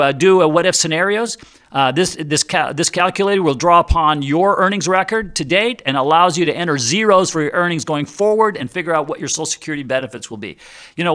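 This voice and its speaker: Man, advice show host, forceful bright tone, slightly high pitch, folksy, conversational, informal. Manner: folksy, chatty, direct address to listeners. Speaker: host and financial advisor. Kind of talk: informal radio-style advice show, reading and answering listener questions.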